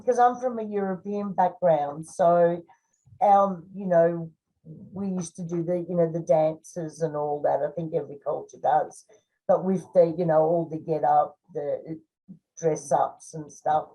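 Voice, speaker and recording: An older woman with a fairly low, mellow voice. She speaks slowly and reflectively in casual podcast conversation, letting thoughts trail away. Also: low-quality recording. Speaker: podcast co-host.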